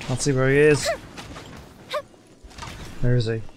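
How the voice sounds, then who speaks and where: A man's voice: medium pitch, steady tone, unhurried, noisy background. Man casually commentating a video game. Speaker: gaming channel host. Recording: game music behind the voice.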